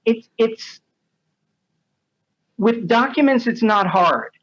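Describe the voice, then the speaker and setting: A man's voice: extremely high pitch, lively intonation, takes it slowly, fairly bright tone, slightly rough. A man speaking as a podcast guest, chatting casually and a little hesitantly about his work as a translator. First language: English. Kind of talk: casual interview anecdotes. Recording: dull lo-fi audio.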